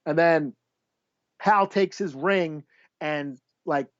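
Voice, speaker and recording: Guy podcast host, bit high voice, rambly comics talk, swears, dry kinda muffled audio. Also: slow pace, no echo. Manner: rambling, searches for names, sweary. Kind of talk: casual comic book review chat. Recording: slightly dull, dry lo-fi audio.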